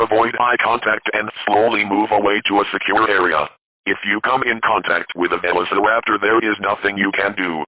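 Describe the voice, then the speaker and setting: A man voicing a grim, official park emergency broadcast announcer in a formal, impersonal, measured way. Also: on the low side, no echo, lightly acted. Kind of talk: scripted emergency warning announcement. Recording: dull lo-fi emergency broadcast audio.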